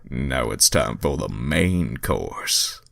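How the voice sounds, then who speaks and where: A man's voice: extremely low pitch, animated, slow, gravelly, a bit bright, dry recording, clean studio sound, pitched below the performer's own voice, full character voice. A man voicing a confident, suave male hedgehog hero in a fan fiction reading.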